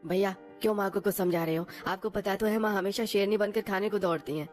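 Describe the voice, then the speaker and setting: A woman's voice: mid-range voice, quick pace, soft background music, pitched above the performer's own voice, lightly acted. A woman voicing an earnest young brother-in-law in a cartoon who defends his sister-in-law. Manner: earnest, pleading.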